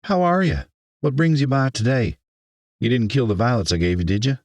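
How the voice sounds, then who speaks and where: A man's voice: medium pitch, lively intonation, brisk, a little mellow, no room sound, pitched above the performer's own voice, lightly acted. A man voicing a friendly young woman florist with a folksy Mississippi drawl, in an audiobook story. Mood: cheerful, welcoming. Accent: Mississippi Southern.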